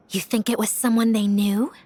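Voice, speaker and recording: A woman voicing a keen young female investigator in a video game, theatrical, expressive and upbeat. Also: a little high, creaky, a little hiss or hum. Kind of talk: video game character dialogue.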